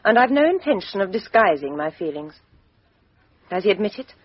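A defiant young woman, cold and outspoken, whose voice swings widely in pitch. The sound is slightly husky, coming from a dull old radio recording. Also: sing-song, a little fast. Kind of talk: 1950s radio drama acting.

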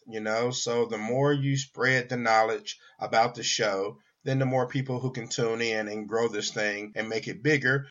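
Slightly muffled, lo-fi audio carries a male host's voice at an ordinary pitch. He talks to listeners as he introduces his radio show. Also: a bit bright.

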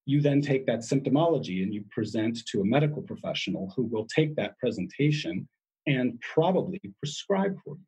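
A man's voice: medium pitch, lively intonation, brisk, darkish tone, breathy edge, low-quality recording. A man speaking as an interview guest, explaining in an emphatic way and often repeating his key words.

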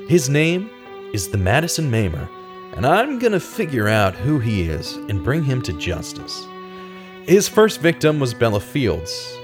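An earnest true-crime investigator played by a man narrates an audio drama with measured, dramatic delivery. His pitch moves widely, and music plays behind him. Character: earnest radio host turned true-crime detective, man. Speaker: host and narrating lead.

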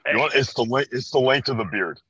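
A man's voice: medium pitch, fast, bright, forceful tone, husky. A man in his early twenties from Chicago with an American accent, chatting casually as an interview guest. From Chicago, USA. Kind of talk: casual chat. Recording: dry, slightly dull band-limited audio.

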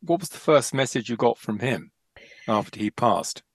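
A man interviewing a guest, asking thoughtful questions in a halting way with restarts. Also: mid-range voice, lively intonation, dry recording.

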